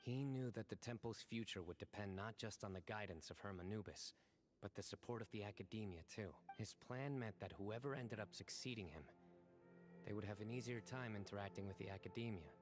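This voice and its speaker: A man plays a thoughtful young general in a video game, his voice on the low side. He speaks formally and precisely, reserved and composed, with clear music behind him. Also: a little fast, close to an everyday voice. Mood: calm, measured. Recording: game audio over background music.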